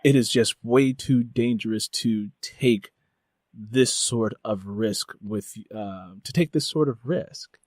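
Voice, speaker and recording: A man's voice: on the low side, slow, darkish tone, dry recording, studio quality. An American man hosting a conversational interview, putting questions in a wordy, circling way with repeated phrases. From United States.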